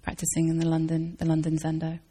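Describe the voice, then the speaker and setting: Woman Zen teacher, low flat voice with husky fry, thoughtful and searching answers. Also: fast. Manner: thoughtful, searching, with restarts and soft laughs. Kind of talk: dharma talk Q&A answers.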